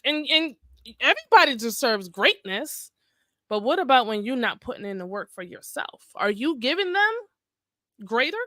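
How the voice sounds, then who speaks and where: A woman's voice: sits high, sing-song, normal speed, sharp tone, dry recording, clean studio sound. A woman co-hosting a podcast in casual conversation, speaking in an emphatic, colloquial way. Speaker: podcast co-host.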